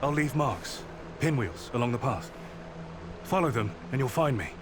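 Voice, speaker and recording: Actor voicing concerned male scout, video game cutscene, earnest explanatory delivery, middle pitch, noticeable background ambience. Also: animated, moderate pace, lightly acted. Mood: earnest, cautioning. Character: earnest, concerned man, loyal scout and companion. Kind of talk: scripted game cutscene dialogue. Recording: clear ambient noise behind the voice.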